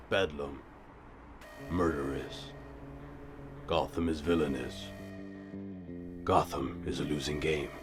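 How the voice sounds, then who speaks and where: An actor playing a brooding, stoic vigilante hero in a comic-book audio drama, speaking in a grave, measured, deadpan way. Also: male voice, a bass voice. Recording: music behind the voice.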